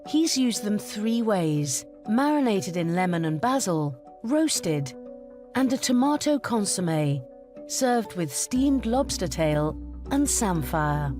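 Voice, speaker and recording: Lively woman narrating a cooking show. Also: mid-range voice, slow, music in the background. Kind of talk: scripted TV narration.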